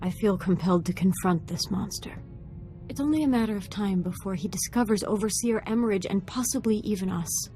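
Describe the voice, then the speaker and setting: An actress playing a young, earnest, troubled Sith apprentice in a video game, speaking gravely and formally. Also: female voice, mid-range voice, brisk, mellow, faint music behind, lightly acted. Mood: troubled, resolved. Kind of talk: dramatic video game voice acting.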